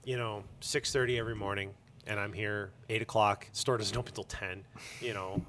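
A man's voice: lowish voice, fairly even intonation, normal speed, background noise. A man speaking as a guest in a casual interview, candid and jokey, with emphatic and occasionally crude phrasing. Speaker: interview guest, comic book store owner.

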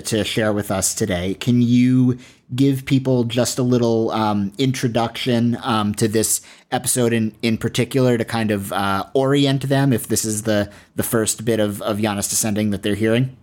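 Male podcast host, mid-pitched; casual interviewer, attentive, feels his way through questions with halting phrasing. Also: darkish tone.

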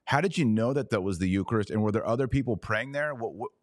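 A man's voice: fairly low pitch, quick pace, no room sound, studio quality. A man hosting a podcast, giving live commentary and interviewing. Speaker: podcast host.

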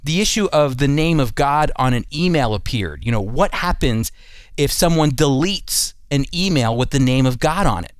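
A man's voice: fairly high pitch, no echo. A man hosting a radio Bible program, teaching in an earnest, emphatic, sermon-like way and addressing listeners directly. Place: studio.

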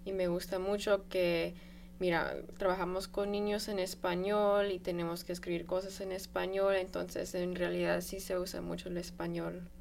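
A young woman student, born in Guatemala and raised in Milwaukee, answering interview questions in a calm, measured way. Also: fairly low pitch, creaky, a little hiss or hum. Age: young adult. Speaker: student guest.

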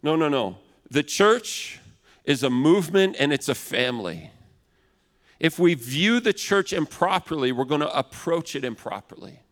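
Loud big-voiced preacher man, high. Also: very animated, normal speed. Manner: acts out complaining customers in raised voice. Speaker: pastor. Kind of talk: sermon. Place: large church hall. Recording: long hall reverb.

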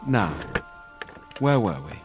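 An actor playing a kindly, affectionate reverend and husband in a radio drama, genial and tender. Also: male voice, fairly low pitch, sing-song, slow pace, over music.

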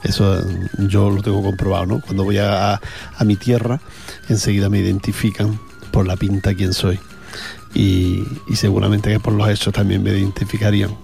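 A man's voice: lowish voice, little pitch movement, moderate pace, gravelly, mellow, soft background music. A man with an Andalusian accent, chatting casually as a radio host between songs, rambling with fillers. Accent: Andalusian Spanish. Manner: rambling, chatty, with frequent fillers and repeated words.

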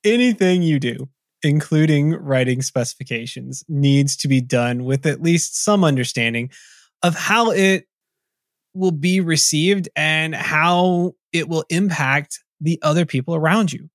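A man from Tennessee co-hosting a casual podcast, reading out discussion points in a relaxed, agreeable way. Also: a little high, measured pace, no room sound, clean studio sound. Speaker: podcast co-host. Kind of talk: casual chat, reading list items.

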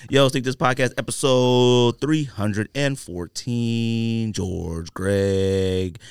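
A man hosting a podcast in casual, jokey banter, telling things in an animated, exaggerated way. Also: mid-range voice, takes it slowly, clean tone, no room sound. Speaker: podcast host.

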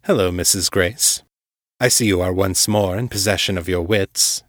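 A man voicing a powerful, condescending vampire lord in his fifties, formal and unhurried, in an audiobook drama. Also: deep voice, no room sound, clean studio sound, lightly acted. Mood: disapproving, composed. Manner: formal, unhurried, smoothly chiding.

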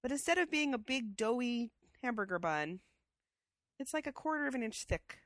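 A woman's voice: a little high, animated, normal speed, no room sound, clean studio sound. A woman co-hosting a casual chat show, with a stuffy head cold and a chatty, enthusiastic way of talking. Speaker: podcast co-host.

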